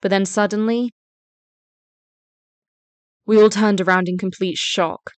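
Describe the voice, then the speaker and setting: A woman playing a wary, defiant student girl in a story drama, smooth and clear, explaining things at length. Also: mid-range voice, steady tone, a little slow, no echo, natural voice. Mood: recounting, tense. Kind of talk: first-person story narration and voice-acted dialogue.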